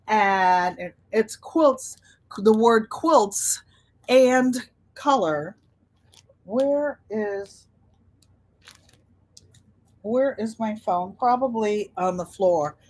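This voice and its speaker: A woman hosting a casual livestream chat in an unhurried, rambling way, drifting between her own talk and replies to viewers. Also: mid-range voice. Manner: unhurried, rambling, easily sidetracked. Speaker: livestream host, quilter.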